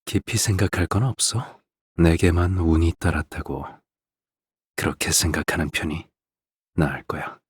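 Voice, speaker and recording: A male actor voices a fatherly video game villain with a deep voice that hangs in long reverb. He is calm and cryptic, softly spoken, and lets each measured phrase settle before the next. The menace stays quiet beneath a gentle, parental manner. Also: close to an everyday voice. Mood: coolly condescending. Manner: soft-spoken, unhurried, measured, pausing between phrases. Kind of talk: game character voice lines, slow theatrical monologue.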